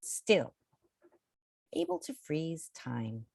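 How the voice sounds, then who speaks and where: Very animated woman reading a picture book. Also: low voice, vocal fry, dry recording, studio quality. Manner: animated, theatrical, deliberate, drawing out key words. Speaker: children's picture book reader. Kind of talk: expressive read-aloud storytelling for children.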